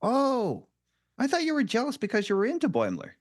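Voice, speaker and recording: A woman's voice: fairly high pitch, huge pitch swings, a little fast. A woman reading the part of a friendly, supportive young male starship engineer in a comedic table read. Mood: curious.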